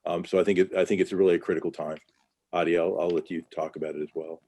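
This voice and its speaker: A man's voice: low-pitched, flat delivery, quick pace, mellow, breathy edge. An American man answering questions as a panelist in a webinar, conversational and candid, with plenty of fillers, over a video call. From United States.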